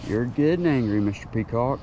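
Young man, excitable, talks to fish, outdoor lakeside, chest camera, mellow tone, faint background noise. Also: mid-range voice, brisk, highs cut off. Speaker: fishing vlogger. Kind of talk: excited solo fishing vlog narration. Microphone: chest-mounted action camera.

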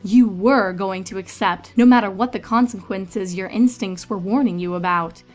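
A woman's voice: medium pitch, moderate pace, darkish tone, faint music behind. A woman narrator reading a story aloud in a scripted, dramatic storytelling style.

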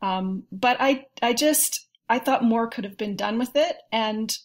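A woman speaking as a podcast panelist, giving articulate, opinionated and measured critique in a casual discussion. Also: fairly high pitch.

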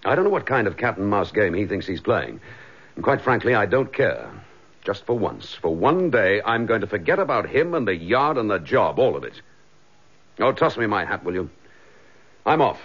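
An actor with a British accent playing a wry police chief inspector in a radio drama, talking conversationally. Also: male voice, fairly low pitch, big pitch swings, normal speed, slightly rough. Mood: exasperated. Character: dry, frustrated male police chief inspector, affectionate husband. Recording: dull lo-fi radio drama recording.

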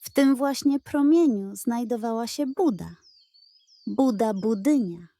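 Unhurried high-voiced woman storyteller. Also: no room sound. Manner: expressive, playful storytelling. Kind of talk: scripted narration of children's bedtime story.

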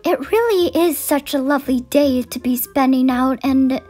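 A woman's voice: high-pitched, moderate pace, a little mellow. A woman voicing a studious, earnest young pony princess in a cartoon dub, articulate, with music behind. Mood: cheerful. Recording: music under the voice.

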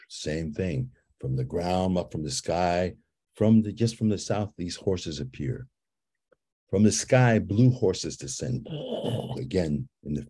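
A man from Guatemala who leads ceremonies, guiding listeners through a slow, calm visualization and telling a story with soft repeated invitations. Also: sits low, breathy edge. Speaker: ceremony leader guiding a visioning exercise.

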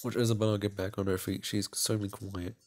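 Low-voiced man reading a visual novel. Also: flat delivery, darkish tone. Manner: halting, stumbles and restarts while reading. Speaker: video game player and narrator. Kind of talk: reading a visual novel aloud with casual side comments.

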